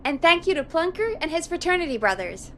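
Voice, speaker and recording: A woman playing a calm, level-headed radio show producer in a video game. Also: very high voice, brisk, background noise, full character voice. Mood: matter-of-fact.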